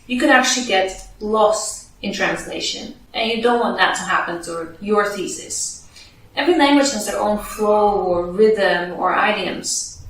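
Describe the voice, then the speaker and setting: A woman's voice: mid-range voice, fairly bright tone, a little hiss or hum. Lady explaining tips for researchers, super echoey room, mic kinda far off. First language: Dutch. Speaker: podcast host giving advice to researchers. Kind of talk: scripted advice video.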